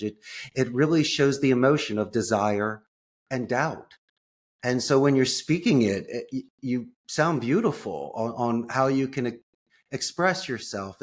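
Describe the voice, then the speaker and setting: An American man speaking as an interview guest, telling personal stories in an enthusiastic, expressive way with colorful phrasing. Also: mid-range voice. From United States. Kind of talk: conversational personal storytelling.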